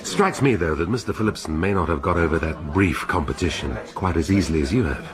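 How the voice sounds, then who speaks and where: A man's voice: sits low, quick pace, crowd noise, natural voice. An actor playing a brusque, probing and sardonic male police inspector.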